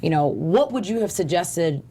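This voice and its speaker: Woman, young adult, lower voice, chatty, exclamatory panel talk. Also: animated, normal speed, warm tone, slightly rough. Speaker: co-host. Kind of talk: political panel discussion.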